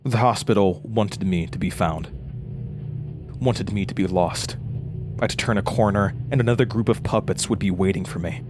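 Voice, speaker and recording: An actor playing a haunted, frightened man recounting a horror ordeal in a halting, intense way, as in an audio drama. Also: lowish voice, music in the background, lightly acted. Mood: frantic, haunted. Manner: halting, stammering over words, intense. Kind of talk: first-person horror audio drama narration.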